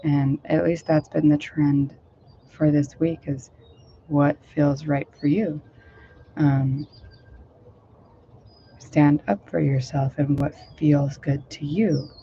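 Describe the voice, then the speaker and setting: A woman meditation teacher talks in a loose, rambling way full of fillers, her voice a little hoarse and low today. Her delivery is mellow and fairly flat. The recording is dull and lo-fi, made outdoors with faint noise behind her. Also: slow, long pauses. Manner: rambling, filler-heavy, gentle. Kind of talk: casual talk leading a guided meditation.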